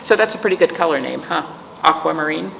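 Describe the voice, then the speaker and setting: A woman hosting a storytime for children, reading aloud and chatting in a gentle, playful manner to young listeners. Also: low-pitched, animated, fast talker. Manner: gentle, playful, addressing young viewers as friends. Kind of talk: read-aloud and chat for children. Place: indoors, room with aquamarine wall. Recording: echoey room with clear background noise.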